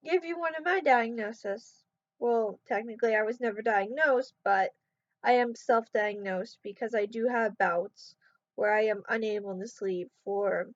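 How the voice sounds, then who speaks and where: A woman talking casually to her camera, sleep-deprived, her voice going hoarse, and rambling as she trails off. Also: fairly high pitch, no room sound. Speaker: vlogger. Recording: dull, muffled sound in a dry room.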